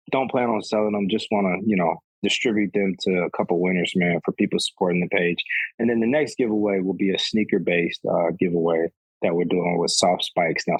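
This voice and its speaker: A man in his thirties, a podcast guest, chatting in a relaxed, rambling way, often tacking "man" onto his sentences. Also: sits low, slightly rough, dry recording, low-quality recording. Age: 30s. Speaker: podcast guest, golf sneaker reviewer. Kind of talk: casual conversation.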